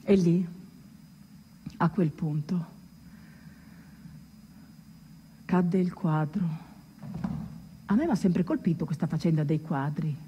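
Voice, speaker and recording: In a stage monologue, a woman plays a ship's musician retelling his friend's legend, emphatic, with long suspenseful pauses and sudden outbursts. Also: lowish voice, lively intonation, dark tone, highs cut off. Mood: bemused, animated. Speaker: stage actor. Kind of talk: theatrical monologue, dramatic storytelling. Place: theatre hall, live stage performance. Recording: reverberant hall recording with clear background noise.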